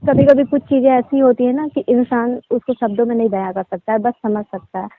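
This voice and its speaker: A young woman with a fairly high voice speaks over a narrow phone line, with a dry, close sound. She keeps her replies short and guarded in a casual conversational style. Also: steady tone, a little fast, no room sound. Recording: tinny, muffled phone line.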